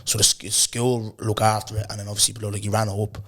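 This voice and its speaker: A man, hosting a podcast in loose, slangy chat, his voice hoarse. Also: on the low side, fast talker. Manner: loose, animated storytelling with lots of slang and repeated "bro". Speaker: podcast host. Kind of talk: casual chat.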